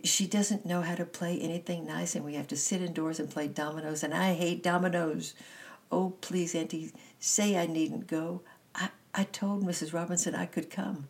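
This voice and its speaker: Woman acting as young storybook girl, hot-tempered, pleading, pitched up from a creaky low voice. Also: fairly even intonation, normal speed, lightly acted. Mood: raging, pleading. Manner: pleading, impetuous.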